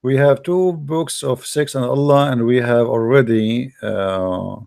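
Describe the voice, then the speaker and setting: A man with a mellow, darker voice hosts a live debate stream. He lectures emphatically and argues his points. He keeps a middle pitch and a steady delivery. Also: measured pace, gravelly. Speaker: livestream debate host. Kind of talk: lecturing religious debate talk.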